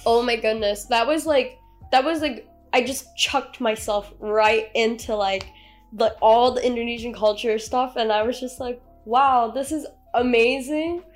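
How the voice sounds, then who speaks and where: A woman's voice: a little high, normal speed, faint music behind. A young woman chatting casually as a podcast co-host, informal and effusive in the way she talks. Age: young adult. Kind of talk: casual chat.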